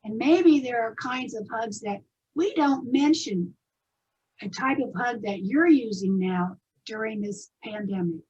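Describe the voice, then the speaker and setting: A woman introducing children's stories as host, in simple measured phrases to her audience. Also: on the high side, a little mellow, slightly rough, dull audio. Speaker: host and presenter of a readers theatre.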